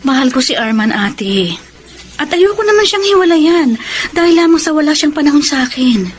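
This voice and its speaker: A woman's voice: high voice, expressive, normal speed, a little hiss or hum. A Filipino woman playing a devoted, lonely middle-aged wife in an emotional Tagalog radio drama. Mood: sad, earnest. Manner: plaintive, emotional. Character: long-suffering, devoted, lonely wife and mother.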